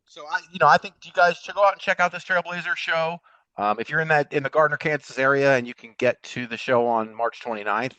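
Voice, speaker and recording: Man, podcast co-host, casual sports chat, medium pitch, slightly muffled lo-fi sound. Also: sharp tone, dry recording.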